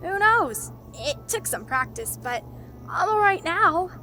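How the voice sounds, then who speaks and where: An actor voicing a bright, cheerful, youthful female pony in a cartoon-style audio drama. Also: very high-pitched, very animated, unhurried, slight background noise, heavily acted. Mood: reassuring.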